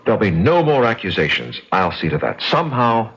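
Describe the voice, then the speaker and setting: An actor playing an exasperated, protective man in a radio mystery, with a rough voice and an earnest, pleading manner. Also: fairly low pitch, huge pitch swings, a little fast, over loud music. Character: exasperated, protective man caught between fiancee and brother. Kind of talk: old-time radio mystery acting. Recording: dull, lo-fi radio drama recording.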